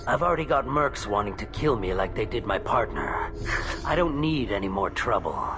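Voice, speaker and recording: A man's voice: lowish voice, sing-song, normal speed, music in the background. A man playing an anxious, put-upon alien merchant in a video game, with a nervous, aggrieved manner.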